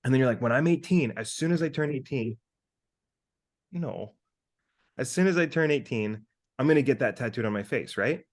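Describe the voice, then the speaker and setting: A man in his thirties talking casually as a podcast co-host, animated and sweary as he thinks out loud. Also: medium pitch, normal speed, long pauses. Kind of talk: casual conversational monologue with hypothetical examples.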